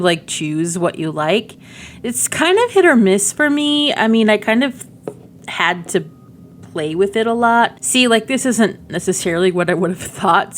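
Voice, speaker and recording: A woman's voice: medium pitch, a little hiss or hum. A woman giving casual game demo commentary, chatty and informal, often thinking aloud as she goes. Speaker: gaming video creator.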